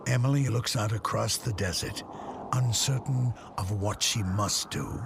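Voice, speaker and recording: A man's voice: lowish voice, measured pace, gravelly, noisy background. A man giving dramatic scripted narration for an audio drama.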